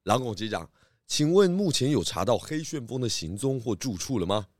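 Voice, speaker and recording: A man playing a shrewd pig detective in a children's audio drama, speaking in a deliberate, theatrical sleuthing way. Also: mid-range voice, very animated, darkish tone, no echo, clean studio sound. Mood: inquisitive. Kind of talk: children's radio drama acting. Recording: music behind the voice.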